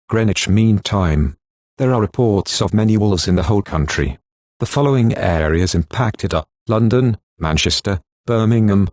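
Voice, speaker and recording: A synthetic text-to-speech man's voice reading a scripted emergency broadcast announcement in a formal, measured, impassive manner. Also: low-pitched, darkish tone, no echo.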